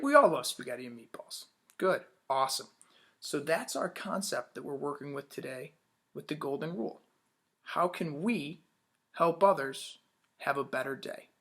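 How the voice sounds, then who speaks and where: A man's voice: a little high, a bit bright. A man teaching young children in a read-aloud lesson, upbeat, asking questions and praising answers.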